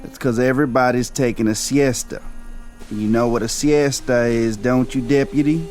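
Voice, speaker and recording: An actor playing a lazy, grumbling drifter in a western radio drama, with a folksy, drawling manner. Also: male voice, mid-range voice, steady tone, moderate pace, a little mellow, close to an everyday voice. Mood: wheedling, lazy. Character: lazy, grumbling old-west drifter working off a fine.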